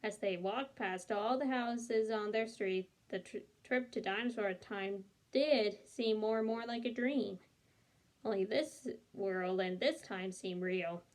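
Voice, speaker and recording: A woman reading a children's story aloud as a storyteller, in an animated way that acts out the dialogue. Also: mid-range voice, slow pace, rough recording. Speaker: read-along storyteller.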